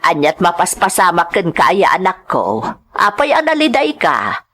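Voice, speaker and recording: A woman's voice: on the low side, huge pitch swings, unhurried, fairly bright tone, exaggerated voice. A woman playing a concerned village mother in a radio-style drama, coaxing and fussing, with an Ilocano accent.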